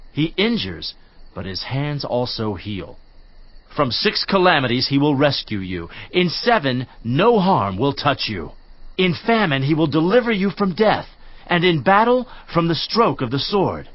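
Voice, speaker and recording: A man's voice: mid-range voice, muffled recording. A man voice-acting a solemn friend giving declamatory counsel in a dramatized Bible reading. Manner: declamatory, lively preaching cadence.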